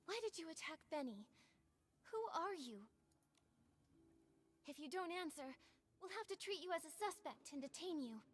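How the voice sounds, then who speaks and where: High, earnest young game heroine. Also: female voice, expressive, moderate pace, long pauses, long reverb tail. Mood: alarmed, firm. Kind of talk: scripted video game voice acting.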